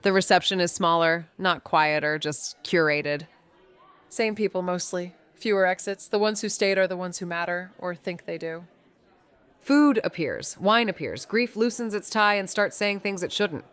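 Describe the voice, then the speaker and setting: A woman's voice: fairly low pitch, normal speed, fairly bright tone, smooth, lightly acted. An actress playing an observant, sardonic young woman sleuth, narrating a noir audio drama with clipped, dry wit. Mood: sardonic, measured. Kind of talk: scripted noir narration and dialogue.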